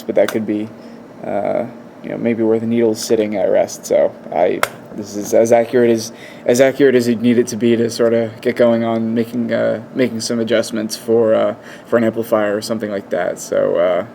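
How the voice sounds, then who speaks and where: A man talks through a hands-on equipment test in a relaxed, mellow voice. His pitch stays fairly flat, and his explanations come haltingly. Faint noise sits behind him in an indoor room. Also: creaky. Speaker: presenter of a radio equipment test. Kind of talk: casual hands-on demonstration. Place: indoors at a wooden workbench. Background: faint background noise.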